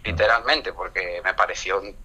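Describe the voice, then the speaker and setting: Very bright, forceful Spanish man, indignant. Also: low-pitched, normal speed. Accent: Spain. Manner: indignant, sweary. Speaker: prank call target. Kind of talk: casual, heated phone chat. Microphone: heard through a phone on speaker.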